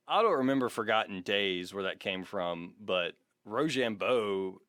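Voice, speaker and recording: A man's voice: lowish voice, lively intonation, slow pace, a bit bright, dry recording, clean studio sound. An American man co-hosting a comedy podcast, reading a biography with mock grandeur, swearing and slipping into casual banter. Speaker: podcast co-host.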